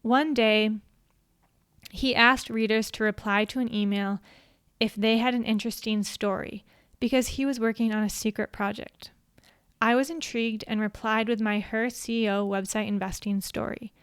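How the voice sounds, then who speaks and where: A woman with a quiet voice, hosting a podcast and reading from a prepared script at an even, measured pace into a studio microphone. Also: on the high side, clear, resonant tone, no echo. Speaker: podcast host. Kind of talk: scripted solo talk.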